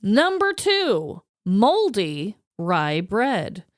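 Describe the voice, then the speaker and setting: A woman co-hosting a podcast in casual, jokey chat, informal and quick to laugh. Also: medium pitch, wildly swooping pitch, no echo, studio quality. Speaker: podcast co-host. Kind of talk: casual comedic chat with a prepared list.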